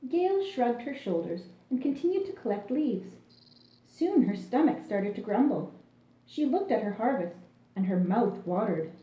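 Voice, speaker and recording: A woman narrating a children's story in an expressive, deliberate manner, recorded in an echoey room. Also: a little high.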